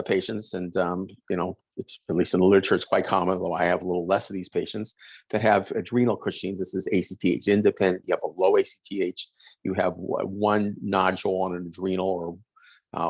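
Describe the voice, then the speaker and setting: A middle-aged man hosting a webinar, talking quickly with many fillers and self-corrections. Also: sits low, gravelly, husky, no echo. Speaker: host, endocrinologist. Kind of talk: webinar presentation. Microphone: video call.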